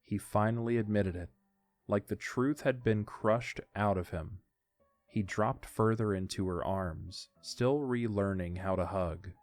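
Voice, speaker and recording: A man's voice: fairly low pitch, unhurried, darkish tone, no room sound. A man narrating a scripted audio drama, with music behind his voice.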